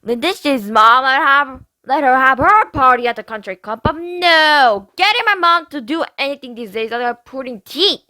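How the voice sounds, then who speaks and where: A woman's voice: sits high, expressive, moderate pace, hardly any pauses, sharp tone, no echo, voice pushed up. A woman reading a storybook aloud, voicing a spoiled, dramatic teenage girl who whines and complains. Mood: complaining. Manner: whiny, dramatic, self-pitying.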